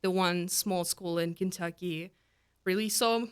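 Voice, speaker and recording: A woman's voice: lowish voice, steady tone, measured pace, clean tone. A woman in her thirties from Russia with a Russian accent, a counselor speaking in a casual interview, earnest and often restarting as she searches for words. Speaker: guest counselor.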